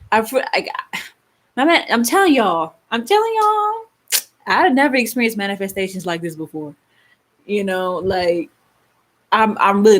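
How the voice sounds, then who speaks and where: A woman's voice: medium pitch, a bit bright. A young woman from Baltimore with a Baltimore accent, hosting a casual live stream with rambling, laughing talk and lots of fillers. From Baltimore, Maryland. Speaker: livestream host.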